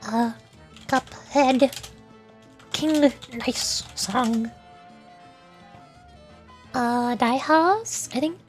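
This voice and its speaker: A woman with a small, squeaky, girlish voice, chatting casually and earnestly to viewers on a livestream. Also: very slow, long pauses, faint music behind. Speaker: streamer and VTuber, voice actor.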